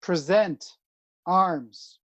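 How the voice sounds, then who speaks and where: A woman with a slightly low voice calls out military drill commands in short, clipped phrases. She leaves long pauses between them, at a very slow pace. The recording is close and dry, though somewhat muffled. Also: fairly bright tone, dry recording. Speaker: drill caller.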